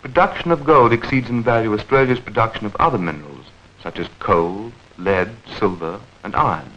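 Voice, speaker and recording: A man narrating a 1940s educational newsreel in a measured, clearly enunciated, explanatory manner. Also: on the low side, a bit bright. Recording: dull vintage 1940s film soundtrack.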